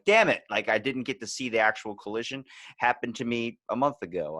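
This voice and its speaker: Low-voiced man in dry, casual podcast chat. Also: a bit bright, dry recording. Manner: rambling, candid, casually profane. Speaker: podcast host.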